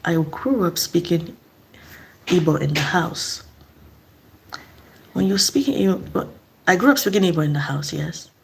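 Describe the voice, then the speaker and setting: A woman's voice: fairly low pitch, expressive, a little slow, creaky, long pauses, slight background noise, low-quality recording. A middle-aged woman from eastern Nigeria, speaking in a casual, earnest vlog monologue and asking reflective questions. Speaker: vlogger.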